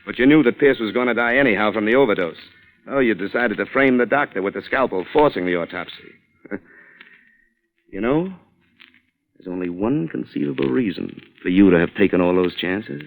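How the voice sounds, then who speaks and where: Low-voiced hard-boiled radio reporter. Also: male voice, expressive, brisk, gravelly, rough recording. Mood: probing, accusing. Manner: pointed, wry, taunting. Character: hard-boiled male reporter, shrewd, defiant, accusing. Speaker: lead actor and narrator. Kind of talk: old-time radio suspense acting. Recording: vintage radio broadcast sound.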